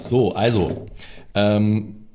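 Deep, mellow male tabletop player. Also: steady tone, very slow, slight background noise. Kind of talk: in-character tabletop role-play.

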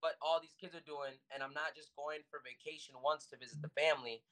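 Bright-voiced young man, loose and rambling. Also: a little high, fairly even intonation, fairly quick, low-quality recording. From United States. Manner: loose and rambling, heavy on fillers and restarts. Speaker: guest musician. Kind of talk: casual podcast interview.

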